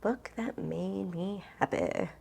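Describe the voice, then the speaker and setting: A woman's voice: mid-range voice, slow pace, creaky, dark tone, slight background noise. A woman chatting casually as a book vlogger, thinking aloud informally and interrupting herself as she goes.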